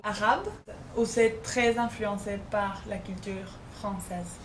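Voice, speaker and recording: A woman's voice: medium pitch, fairly even intonation, slow pace, reverberant, slight background noise. A woman interviewing a guest with short, casual questions. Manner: short friendly prompts.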